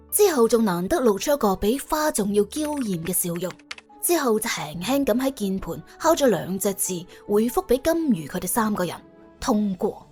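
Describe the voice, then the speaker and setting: A woman's voice: medium pitch, a little mellow, husky. A woman with a Cantonese accent narrating a story in a dramatic, colloquial, humorous way. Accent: Hong Kong or Guangdong Cantonese. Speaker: audiobook narrator. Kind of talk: colourful scripted story narration.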